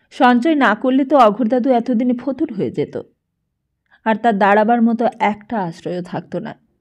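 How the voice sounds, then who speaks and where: A woman's voice: a little high, mellow. A woman audiobook narrator reading literary fiction expressively, on slightly dull, lo-fi audio.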